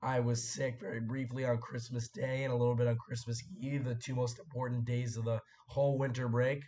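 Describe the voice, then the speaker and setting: A young man hosting a casual live stream, his voice hoarse and cracking after a sore throat, rambling and clearing his throat as he chats with viewers. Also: medium pitch, flat delivery, a little fast, mellow. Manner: rambling, chatty, frequent fillers and throat clearing.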